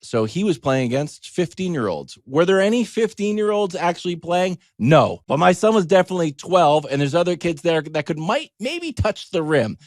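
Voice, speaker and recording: A man's voice: on the high side, big pitch swings, normal speed, hardly any pauses, no echo. Middle aged american guy doing a funny casual monologue, voice jumps up and down a lot, really dry close sound. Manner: conversational, wry, acts out quoted asides. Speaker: sports show host telling a story.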